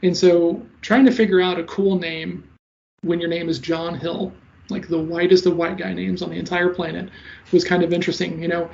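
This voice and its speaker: A man in his thirties who talks fast and stutters a little, giving casual interview answers as a sales coach. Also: high voice, level tone, gravelly, a little mellow. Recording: slightly dull lo-fi audio.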